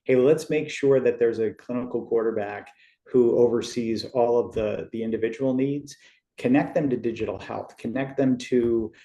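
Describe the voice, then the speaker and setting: A middle-aged man from Western Massachusetts, a podcast guest who explains at a measured pace with frequent restarts. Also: mid-range voice, fairly even intonation, warm tone, reverberant. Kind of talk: podcast interview.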